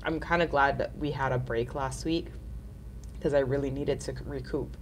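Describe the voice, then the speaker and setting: A woman's voice: a bass voice, steady tone, moderate pace, a little hiss or hum. A woman in her thirties hosting a casual live nail art tutorial, chatty and upbeat, sounding nasal from a cold.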